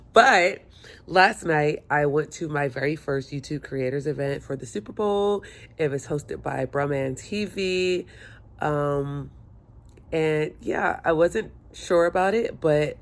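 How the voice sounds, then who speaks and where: Chatty hungover woman vlogging at home. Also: sits low. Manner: chatty, rambling, self-mocking. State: stuffy and hungover. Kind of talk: casual chat to camera. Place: home room by windows. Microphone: phone held or propped.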